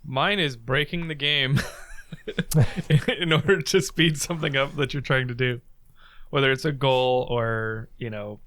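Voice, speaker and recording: A man co-hosting a gaming podcast, explaining his view in a measured, casual way. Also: mid-range voice, a bit bright, dry recording. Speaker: podcast co-host.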